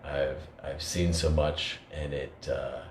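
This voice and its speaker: A deep-voiced man talks things through in an earnest, halting way, full of fillers and restarts. His voice echoes around the room, with a faint hiss underneath. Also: fairly even intonation. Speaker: podcast co-host. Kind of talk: reflective conversational talk.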